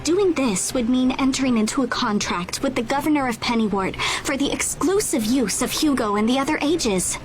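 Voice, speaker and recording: A woman's voice: high-pitched, animated, normal speed, slight background noise. An actress playing a polite, earnest female aide in a video game drama, speaking formally. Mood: formal, regretful.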